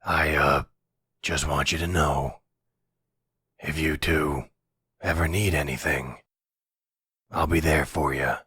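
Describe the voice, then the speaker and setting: A man performs a gruff older mafia boss in an intimate roleplay drama. The voice is extremely low and very husky, close and dry. He speaks slowly and hesitantly, weary but tender. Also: steady tone, pushed and bright, dry recording, exaggerated voice. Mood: regretful, tender. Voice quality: gravelly, husky. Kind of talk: boyfriend roleplay audio drama.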